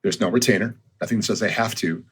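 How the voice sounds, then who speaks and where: Low husky man in his fifties. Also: quick pace, fairly bright tone. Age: 50s. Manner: thoughtful, with frequent ums and restarts. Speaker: guest, consulting firm founder. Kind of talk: conversational podcast interview.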